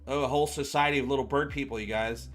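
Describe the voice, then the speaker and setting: A man's voice: mid-range voice, steady tone, quick pace, a bit bright, soft background music. A man hosting a read-along, tossing in goofy, playful asides. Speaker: host.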